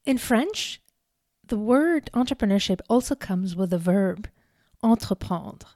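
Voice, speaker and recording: Warm-voiced woman telling her story. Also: medium pitch, animated, no room sound, clean studio sound. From Algeria. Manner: earnest, deliberate, with emphatic repetitions. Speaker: speaker giving a talk. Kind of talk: prepared personal storytelling speech.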